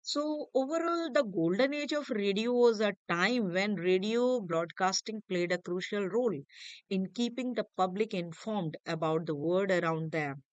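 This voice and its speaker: A woman presenting an educational lecture from notes, reading in a halting manner with small stumbles over phrases. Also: mid-range voice, lively intonation, clear, resonant tone, dry recording, lo-fi sound. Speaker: lecturer presenting a history lesson.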